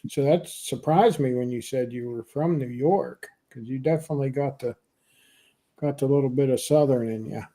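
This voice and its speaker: Man from Pennsylvania, casual host, mellow tone, chatty, laughs easily. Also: medium pitch, moderate pace, low-quality recording. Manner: chatty, warm laughs, many "you know" fillers. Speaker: podcast host. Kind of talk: casual chat on a livestream.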